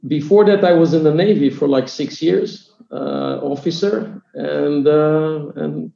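An Israeli man in his fifties speaks with a gravelly, mellow voice that sits a little high for a man. He talks haltingly and leans on many fillers. His audio sounds dull and echoey, like a remote call in a bare room. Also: steady tone. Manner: hesitant, many fillers and restarts. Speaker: guest, company CEO. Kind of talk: business podcast interview.

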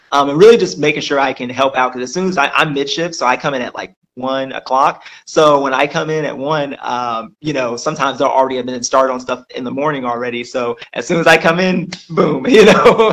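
A man's voice: mid-range voice, fairly bright tone, rough recording. A young man from Georgia, answering interview questions about his lab job in an upbeat way, with lots of "um" and "like". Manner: upbeat, lots of fillers like "um" and "like", laughs often. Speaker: lab quality control specialist, guest.

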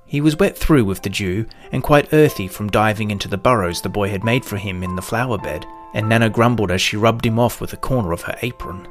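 A man's voice: on the low side, brisk, hardly any pauses, faint music behind. Man narrating a children's storybook.

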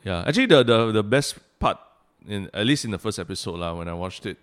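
A Singaporean man co-hosting a podcast, talking in a measured, agreeable way with frequent "lah". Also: lowish voice, animated. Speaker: podcast co-host. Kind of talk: casual chat.

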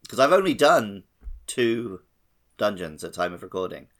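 A man's voice: on the low side, big pitch swings, normal speed, a bit bright. A man hosting a podcast, talking casually and conversationally about video games. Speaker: podcast host. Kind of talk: casual video game chat.

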